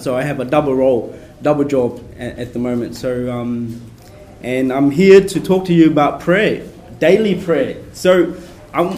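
A soft-spoken man from Vietnam, a Capuchin priest, leading a prayer workshop for a small group in a casual way and leaning on his notes. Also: a little high, slight background noise. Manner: soft-spoken, relies on notes, chatty asides. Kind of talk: informal prayer workshop talk.

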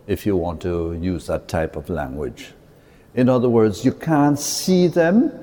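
A priest from Jamaica, giving an earnest, halting talk to a church audience, with pauses and repeated words. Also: male voice, on the low side, mellow. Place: large cathedral. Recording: long hall reverb in a cathedral.

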